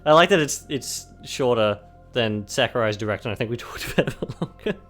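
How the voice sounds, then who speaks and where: Young guy chatting on a gaming podcast, keeps laughing, voice going hoarse, faint music behind. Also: medium pitch, lively intonation, fairly quick, fairly bright tone. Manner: giggly, rambling, many fillers and restarts. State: losing his voice. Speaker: podcast co-host. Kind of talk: casual chat.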